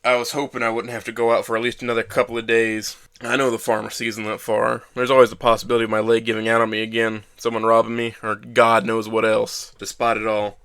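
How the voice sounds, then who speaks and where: An actor playing a bitter, self-loathing young trauma survivor, narrating an audio drama in the first person with a brooding manner. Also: male voice, fairly low pitch, completely flat delivery, fast talker, few pauses, fairly bright tone, clear, resonant tone.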